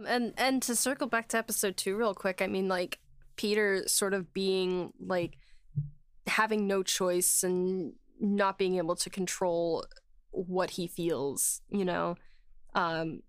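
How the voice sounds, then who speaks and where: A woman's voice: medium pitch, fairly even intonation, slow, clean tone, no room sound, studio quality. A woman chatting informally in a podcast discussion, sprinkling her talk with conversational filler phrases. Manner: informal, frequent "like" and "you know".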